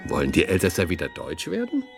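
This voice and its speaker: An actor playing a polite, eager radio interviewer from Westphalia in a radio play, courteous and conversational. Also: male voice, low voice, wildly swooping pitch, fast talker, creaky, faint music behind, heavily acted. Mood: courteous, probing.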